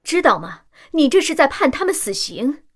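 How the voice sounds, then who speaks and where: A defiant, indignant operator, animated delivery. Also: female voice, high voice, moderate pace. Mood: accusing. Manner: accusing, sharp-tongued. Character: principled, defiant female machine operator, indignant and sardonic. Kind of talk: Chinese science-fiction radio drama acting.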